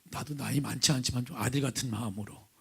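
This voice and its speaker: A middle-aged male pastor preaching with a hoarse, raspy voice from vocal nodules, often sinking to a breathy near-whisper and asking the congregation questions. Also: medium pitch, steady tone, fast talker, pushed and bright. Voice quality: raspy, gravelly. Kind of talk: sermon with opening prayer. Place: church sanctuary, at the pulpit.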